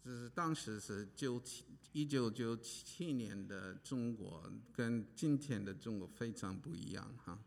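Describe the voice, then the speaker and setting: A man from Hawaii speaking as a pastor, halting with many pauses and fillers while an interpreter follows him. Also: medium pitch, gravelly, a little mellow. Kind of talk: preaching with interpreter. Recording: long hall reverb.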